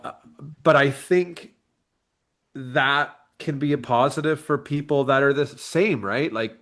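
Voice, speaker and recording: Man, late thirties, medium pitch, candid and earnest, informal interview guest. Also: a bit bright. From Canada. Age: late 30s. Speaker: bodybuilder and online coach, interview guest. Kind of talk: casual interview chat.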